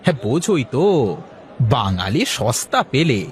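A man's voice: medium pitch, very animated, moderate pace, natural voice. A man voicing brash village market folk in a cartoon, with a blunt, teasing, colloquial manner. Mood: boastful. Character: brash male market vendor and haggling customer, teasing, grumbling. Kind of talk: cartoon character dialogue.

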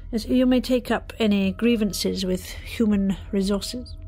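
A woman's voice: medium pitch, measured pace, mellow, faint music behind, natural voice. Woman acting cold, officious corporate officer. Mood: cool, official. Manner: formal, curt, bureaucratic. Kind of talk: audio drama acting.

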